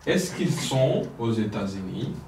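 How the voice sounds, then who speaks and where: A man runs a French drill, patiently prompting and confirming each answer. His voice sits on the low side. He is recorded from a distance in an echoey room with a faint hiss behind. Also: very animated, measured pace, a little mellow. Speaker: French teacher. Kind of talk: language lesson drill. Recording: roomy, distant mic, faint noise.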